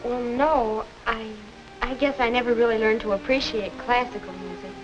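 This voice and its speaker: A woman's voice: on the high side, fairly even intonation, normal speed, close to an everyday voice. An actress playing an earnest, wondering young American woman in a 1950 educational film, with a self-questioning way of talking. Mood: hesitant. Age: young adult. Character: earnest young woman unsure about her romance. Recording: dull vintage 1950s film soundtrack with music.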